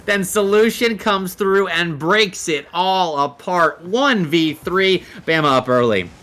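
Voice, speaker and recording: A man's voice: high-pitched, takes it slowly, sharp tone. A man giving live analytical commentary on a video game match, explaining play in long sentences. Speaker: esports commentator, analyst caster.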